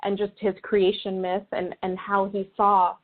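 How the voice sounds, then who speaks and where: A woman's voice: mid-range voice, flat delivery, measured pace. A woman answering as an interview guest, earnest and conversational, often rephrasing as she goes. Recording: thin phone-like call audio.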